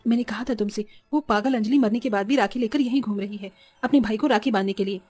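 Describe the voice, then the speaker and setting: A scheming, scolding village mother from a horror cartoon, played by a woman. She talks quickly and presses every point insistently. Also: fairly high pitch, a little mellow, husky, faint music behind. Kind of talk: animated horror story dialogue.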